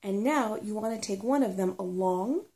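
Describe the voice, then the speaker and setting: A woman voicing a bad-tempered, scornful young female brownie in a storybook, gruff and grumbling. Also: mid-range voice, normal speed, warm tone, natural voice. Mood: indignant. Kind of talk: whispery storybook character reading.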